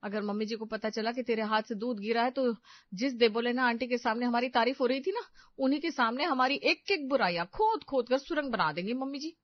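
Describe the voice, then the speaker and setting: A woman voicing a sensible young daughter-in-law in a family story, anxious and gently scolding. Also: a little high, fairly quick, no echo, muffled recording, close to an everyday voice. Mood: worried.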